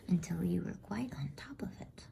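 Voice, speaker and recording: A woman reading a bedtime story in a hushed, breathy ASMR whisper. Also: on the low side, normal speed. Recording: faint background noise.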